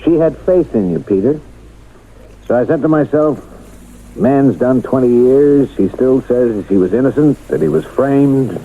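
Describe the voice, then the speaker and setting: An actor in a radio drama playing a paternal but suspicious boss who speaks with measured, probing authority. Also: male voice, mid-range voice, lively intonation, vocal fry. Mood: disappointed, lecturing. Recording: muffled old radio broadcast, faint noise.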